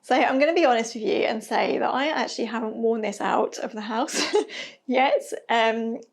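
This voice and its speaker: Woman, sewing vlogger, fairly high voice, gravelly, a bit hoarse, chatty and self-deprecating. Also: moderate pace. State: slightly hoarse, losing her voice. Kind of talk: casual chatty review.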